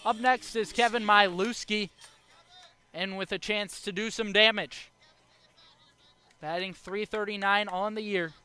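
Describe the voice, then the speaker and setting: Young man calling baseball, high bright voice. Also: fairly even intonation, unhurried, pauses often, clean tone, soft background music. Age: young adult. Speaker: baseball play-by-play announcer. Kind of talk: live sports play-by-play commentary.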